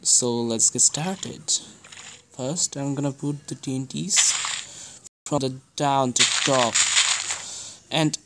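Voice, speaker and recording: Slow Bangladeshi gamer, very noisy recording. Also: mid-range voice. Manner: halting, non-fluent English. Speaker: gaming video creator. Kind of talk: casual gameplay commentary.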